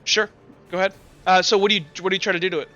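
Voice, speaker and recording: A man's voice: sits high, steady tone, racing, over music. A young man narrating as game master of a tabletop game, rambling with lots of fillers. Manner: rambling, lots of likes and uhs. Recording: dull lo-fi mic.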